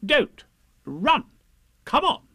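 A man voicing a bossy, self-important rabbit in a storybook, with a brisk, commanding and falsely confident manner. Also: very high-pitched, huge pitch swings, pushed and bright, breathy edge, dry recording, clean studio sound, pitched above the performer's own voice, heavily acted. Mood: bossy, impatient.

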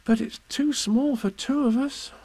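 A man doing an eager, high-voiced young boy. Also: a little slow, exaggerated voice. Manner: high and excitable.

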